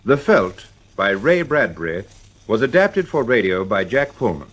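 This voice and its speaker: A man's voice: on the low side, big pitch swings, moderate pace, fairly bright tone. A man reading scripted radio drama credits as a formal announcer.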